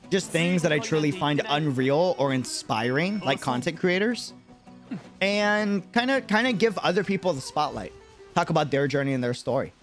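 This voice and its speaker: Animated, higher-pitched male streamer. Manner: animated, repeats phrases for emphasis. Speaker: streamer and content creator. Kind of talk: casual livestream chat answering viewers.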